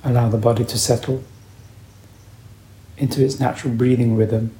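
A man leading a guided meditation as a teacher, calm, unhurried and soothing in his instruction. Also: medium pitch, reverberant, rough recording.

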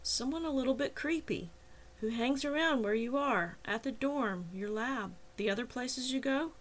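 A woman's voice: a little high, moderate pace, pitched above the performer's own voice, close to an everyday voice. Woman reading as a persistent, coaxing male cop. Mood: placating. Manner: persuasive, coaxing. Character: persistent, teasing male cop, cajoling and reasonable. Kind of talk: audiobook character dialogue.

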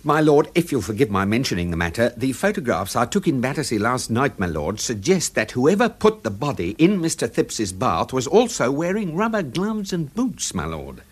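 An actor playing a tactful, formal English manservant in a radio drama, politely hesitant and husky in voice. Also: male voice, medium pitch, big pitch swings, moderate pace, hardly any pauses. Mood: deferential. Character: deferential, tactful manservant to a lord. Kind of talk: BBC radio drama acting.

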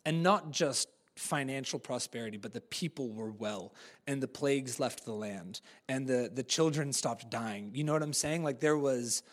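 A man from New Zealand with an American accent, preaching a live sermon in a church in a conversational, animated way with casual fillers. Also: mid-range voice. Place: church service.

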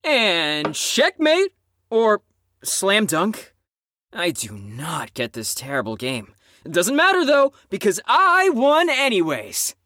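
A man's voice: high-pitched, wildly swooping pitch, takes it slowly, sharp tone, dry recording, heavily acted. A man playing a brash, sarcastic, cocky and bitter teenager in an audio drama. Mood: cocky, gloating.

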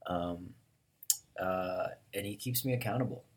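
Hoarse young male host, low voice, upbeat and encouraging. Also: unhurried. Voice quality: deep, hoarse from a cold. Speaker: podcast host. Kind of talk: casual chat.

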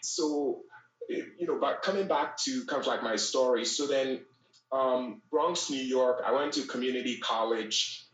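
A man's voice: mid-range voice, measured pace, fairly bright tone. Man from Ghana, roomy distant sound. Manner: conversational, frequent fillers and restarts. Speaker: podcast guest. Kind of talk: casual interview storytelling. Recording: echoey room, distant from mic.

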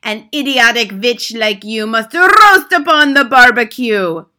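A woman's voice: fairly high pitch, lively intonation, sharp tone, voice pushed up. A woman voicing a cruel, furious grand witch from a storybook, screaming imperiously and drawing out her words. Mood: menacing chanting. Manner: screaming, imperious, slow drawn-out emphatic words. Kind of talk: dramatic storybook character voice.

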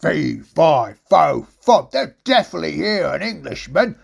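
Storybook male giant, acted by a woman: menacing, emphatic chant, rough-edged and loud. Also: sits low, sing-song, unhurried, exaggerated voice. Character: menacing man-eating male giant, booming and slow-witted.

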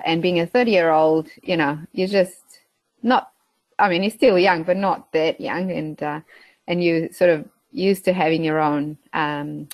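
A woman in her thirties speaks with a Portuguese accent in a fairly low voice, recorded dry and close. She chats casually as an interview guest, pausing often with fillers. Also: dry recording, low-quality recording. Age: 30s. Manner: hesitant, many fillers, laughs between phrases. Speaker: guest, beef farmer.

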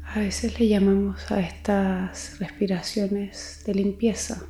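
A woman leading a guided meditation, speaking gently and soothingly in a soft voice with long pauses. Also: medium pitch, little pitch movement, echoey room. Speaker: psychologist guiding meditation. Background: music.

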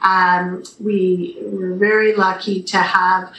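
A woman's voice: lowish voice, flat delivery, takes it slowly, a bit bright. A Canadian woman answering interview questions conversationally and enthusiastically over a video call, as a theatre director and radio guest. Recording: roomy video call, faint noise.

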